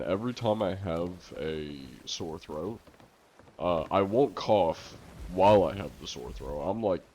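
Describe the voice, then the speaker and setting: A man chatting casually to viewers on a live game stream, congested and coughing now and then, chatty and quick to laugh. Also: low voice, a little mellow, slight background noise.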